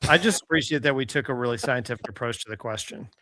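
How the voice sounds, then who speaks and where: A man's voice: medium pitch, fast, bright, forceful tone, no echo. A man co-hosting a podcast in casual comedic banter, mock-earnest and often repeating his words as he goes. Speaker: podcast co-host.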